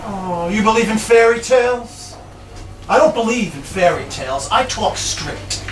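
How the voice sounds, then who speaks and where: In a noisy, echoing venue, far from the mic, an actor plays a blunt, hard-faced man in a stage comedy. His very high voice needles and nitpicks as he bickers through the dialogue. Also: lively intonation, unhurried. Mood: blunt, needling. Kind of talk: live stage play, quick comic banter. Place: small bar performance room. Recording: echoey noisy live venue, distant mic.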